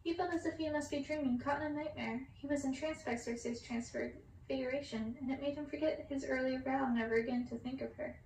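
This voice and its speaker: A woman's voice: high voice, steady tone, a little fast, a little mellow. A woman narrating a story, reading it aloud. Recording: roomy, distant mic, faint noise.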